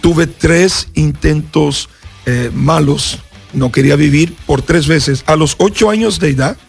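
Gravelly Brazilian pastor telling his story. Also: a little high, a little slow, faint music behind. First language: Portuguese. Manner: earnest storyteller, acts out voices in his story. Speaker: pastor, radio guest. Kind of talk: radio interview, personal testimony.

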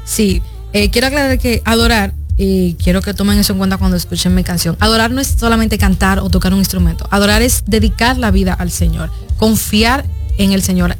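A woman in her twenties with a Dominican Spanish accent, speaking earnestly and reflectively as an interview guest, hesitating between phrases. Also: medium pitch. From Dominican Republic. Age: 20s. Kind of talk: conversational radio interview.

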